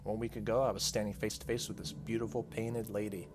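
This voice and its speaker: A man's voice: on the low side, flat delivery, fast talker, a little hiss or hum, natural voice. A man voicing a frank, uneasy teenage girl in a visual novel, telling her story in an earnest, conversational way.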